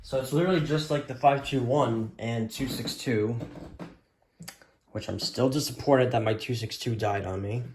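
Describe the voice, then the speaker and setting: A man's voice: mid-range voice, moderate pace, a little mellow. A man casually showing off a gadget on a vlog, unscripted, hesitant and repetitive. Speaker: weather radio hobbyist vlogger. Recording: echoey room with background hiss.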